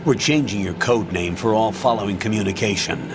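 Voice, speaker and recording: An actor playing a stern, authoritative military commander in a video game, giving a measured, clipped mission briefing. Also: male voice, lowish voice, noisy background, close to an everyday voice. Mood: businesslike, commanding. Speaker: commanding officer. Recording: loud music and effects underneath.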